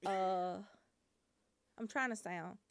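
A woman's voice: medium pitch, takes it slowly. A woman hosting a casual comedic show, playful and teasing, trying on mock accents. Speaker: show host. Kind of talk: casual comedic live chat.

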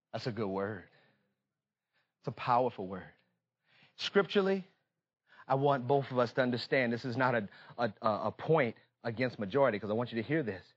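An American man preaching a fervent sermon in an emphatic, repetitive call-and-response manner, moving between confiding talk and impassioned shouting. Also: mid-range voice, lo-fi sound.

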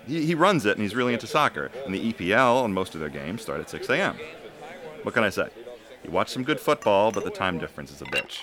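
A man's voice: fairly low pitch, very animated, quick pace, a bit bright. A man playing a blunt, chatty soccer supporter in a casual audio drama. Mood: animated, complaining. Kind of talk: casual, naturalistic drama dialogue. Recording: busy crowd and traffic noise.

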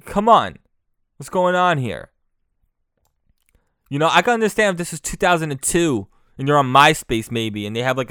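A man's voice: mid-range voice, sharp tone, clean tone, no echo, clean studio sound. A young man, hosting his own podcast in a loose, profane, mocking solo rant full of restarts. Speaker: podcast host.

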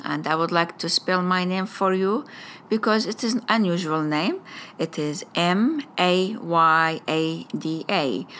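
Iraqi-accented woman speaking slowly and carefully. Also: on the low side, sharp tone, slight background noise. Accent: Iraqi Arabic. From Iraq. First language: Arabic. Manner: careful, deliberate phrasing with frequent hesitations. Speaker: parent sharing her background. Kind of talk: personal testimony to a school audience.

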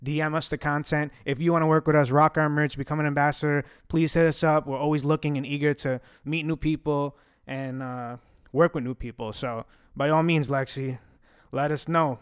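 A man's voice: on the high side, flat delivery, moderate pace, smooth, no echo, clean studio sound. Chatty young man with a slight stutter. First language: Italian. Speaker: host answering fan questions. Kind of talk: casual Q&A chat.

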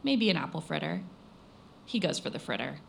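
Woman reporter, scripted narration, gravelly fry in the voice, faint ambience behind. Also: low-pitched, very animated, a little hiss or hum. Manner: measured storytelling delivery. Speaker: radio reporter.

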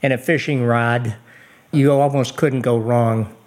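A man's voice: mid-range voice, fairly even intonation, measured pace, a little mellow. A man from New York, a podcast guest, telling nostalgic stories of his youth.

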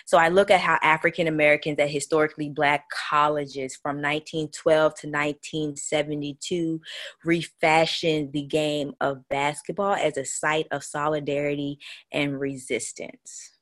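Low-voiced young woman explaining research. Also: a bit bright. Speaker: guest academic researcher. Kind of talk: explanatory interview talk.

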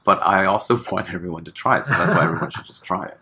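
A man from Hong Kong, a guest chatting casually in an interview, relaxed and full of fillers, laughing often. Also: low-pitched, quick pace, slightly rough.

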